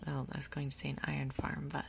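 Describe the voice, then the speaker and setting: A woman gaming host giving soft, whispery ASMR commentary, casually thinking aloud in an unhurried way. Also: very deep voice, completely flat delivery, a little hiss or hum. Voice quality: soft, whispery, breathy undertone. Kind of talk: soft-spoken ASMR Minecraft gameplay commentary.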